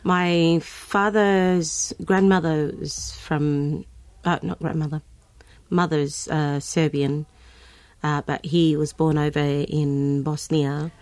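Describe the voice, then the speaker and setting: A woman's voice: deep voice, a little mellow, no room sound. An Australian woman, a guest singer, chatting in an interview, hesitant, with many ums and restarts. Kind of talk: casual interview chat.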